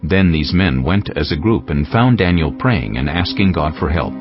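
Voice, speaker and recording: A man's voice: a bass voice, animated, moderate pace. A man narrating scripture in a scripted, dramatic storytelling style. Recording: muffled sound with faint music underneath.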